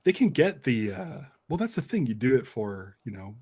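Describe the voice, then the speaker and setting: A man's voice: medium pitch, very animated, moderate pace, mellow, husky, no room sound. A man in a casual fan podcast chat, enthusiastic and thinking aloud in rambling fragments. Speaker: podcast host.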